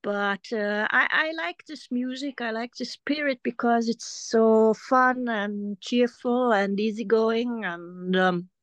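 A woman's voice: on the high side, takes it slowly, few pauses, no room sound, lo-fi sound. A woman in her forties from Germany, a German speaker talking in English as a podcast guest, hesitant with many ums and restarts. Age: 40s. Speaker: interview guest. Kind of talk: casual conversation.

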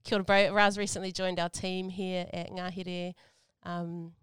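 A woman with a New Zealand accent hosting a casual interview, relaxed and friendly, mixing in Māori greetings. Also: fairly low pitch, normal speed, clean tone, dry recording, clean studio sound. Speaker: host.

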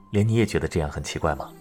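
A man performs a kindly young scholar who teaches in an ancient village. His voice sits low, and he speaks with patient, unhurried tenderness in period audio drama style. Faint music runs underneath. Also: darkish tone. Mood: calm. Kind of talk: Chinese period romance audio drama acting.

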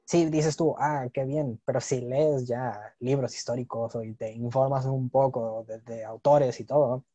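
Man, young adult, Mexican accent, relaxed dark tone, casual explanatory chat, dry sound. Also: mid-range voice, unhurried, dry recording, highs cut off.

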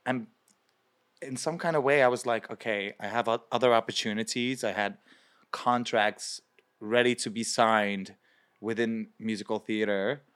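Young man from Belgium, drag performer, low smooth voice, rambling animated interview talk. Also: moderate pace. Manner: chatty, lots of fillers, animated quoting. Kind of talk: casual interview.

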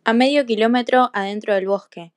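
A woman's voice: on the high side, fairly quick, no echo, lightly acted. Naive, polite storybook girl, played by a woman, radio play, dry recording. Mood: trusting, friendly. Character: innocent, trusting young girl of a fairy tale. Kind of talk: school radio play acting.

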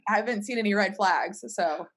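A woman's voice: medium pitch, normal speed. A woman talking casually as a panel guest, explaining things informally and acting out imagined remarks as she goes. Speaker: startup team member, panel guest.

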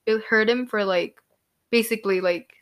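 A young woman from New York co-hosting a podcast, chatting casually and reacting with quick exclamations. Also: mid-range voice. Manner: relaxed, reactive, frequent exclamations. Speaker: podcast co-host. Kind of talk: casual chat.

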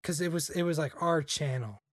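A man's voice: on the high side, a little fast, fairly bright tone, dry recording, studio quality. A young man chatting casually on a podcast, rambling with lots of "like" fillers. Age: young adult. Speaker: podcast guest. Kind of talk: casual chat.

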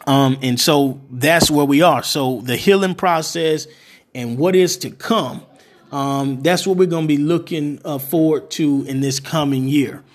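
A man hosting an interview, speaking in a halting way with fillers. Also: fairly high pitch. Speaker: interview host.

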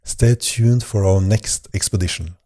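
A man delivers a scripted podcast monologue as its host. His voice sits low and sounds mellow and relaxed. He speaks in an earnest, measured way. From Norway.

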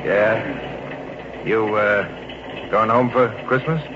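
An actor playing a kindly, chatty middle-aged traveling salesman with a folksy, avuncular, unhurried manner in 1940s radio drama. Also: lowish voice, fairly even intonation. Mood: sympathetic, curious. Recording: noisy muffled old radio recording.

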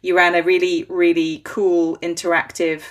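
Brief: woman podcast host, casual and playful, fond of corny jokes, a touch low-pitched. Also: little pitch movement, moderate pace. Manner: chatty, corny jokes, laughs easily. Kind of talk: casual conversational interview.

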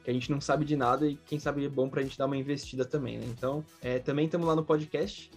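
A man's voice: mid-range voice, fairly even intonation, quick pace, faint music behind. A man with a Brazilian accent hosting a podcast, chatting informally and with slang. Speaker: video podcast host. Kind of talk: casual chat with a guest.

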